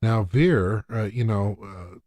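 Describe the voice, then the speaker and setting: A man's voice: lowish voice, slow pace, a little mellow, dry recording. A man co-hosting a podcast, recapping a TV episode in casual conversation. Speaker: podcast co-host.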